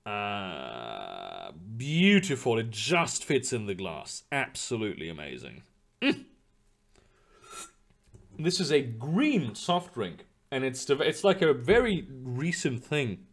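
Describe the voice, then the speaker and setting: Lively casual male streamer. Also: medium pitch, slow pace, gravelly. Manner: rambling, swears casually, many fillers. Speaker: streamer reading chat. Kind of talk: casual live-stream chat.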